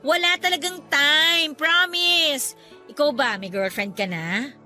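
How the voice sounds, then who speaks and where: A woman's voice: high voice, slow, bright, forceful tone, faint music behind, full character voice. A woman playing a playful, chatty young businesswoman meeting an old flame in an audio drama, with a teasing, exclaiming manner. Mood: light, chatty. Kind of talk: Filipino audio drama acting.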